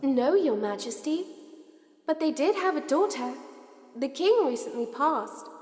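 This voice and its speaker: A woman's voice: sits high, lively intonation, a little slow, a little mellow. A woman playing a brave, devoted young girl heroine in an audio play, with an earnest, emphatic delivery. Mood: polite. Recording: echoey reverb, music underneath.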